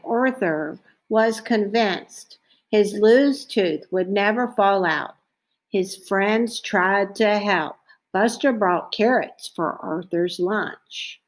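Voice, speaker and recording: Slow-paced woman reading a children's story. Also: mid-range voice. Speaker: storybook reader. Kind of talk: read-aloud children's story narration.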